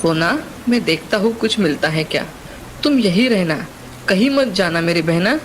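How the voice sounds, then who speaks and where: A woman's voice: lowish voice, expressive, normal speed, slight background noise, natural voice, poor audio. A woman voicing an anxious, caring young boy, a protective big brother, in a dramatized moral story. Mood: reassuring.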